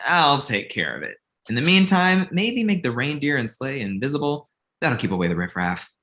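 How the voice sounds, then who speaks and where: A man plays a cocky, needy grown son in a comedy script reading. The voice is mid-pitched and bright, swinging widely as he talks with a wry, petulant edge. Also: big pitch swings, moderate pace. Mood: eager, cocky. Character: cocky, needy grown son, amateur film buff, living with parents. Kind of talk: comedy script table read.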